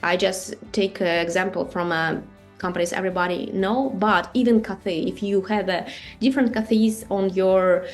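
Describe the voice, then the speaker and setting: A woman's voice: mid-range voice, steady tone, moderate pace, soft background music. A woman from Russia with a Russian accent, giving an online marketing master class in a conversational way, with many fillers and rhetorical questions. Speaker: marketing and branding instructor.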